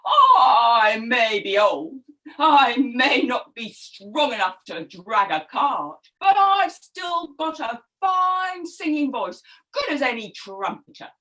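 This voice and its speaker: A woman's voice: fairly high pitch, sing-song, slow pace, pushed and bright, voice pushed down, full character voice, rough recording. A woman voicing a spirited, kindly old male donkey for a children's story, theatrical and exclamatory with braying calls. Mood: proud, spirited.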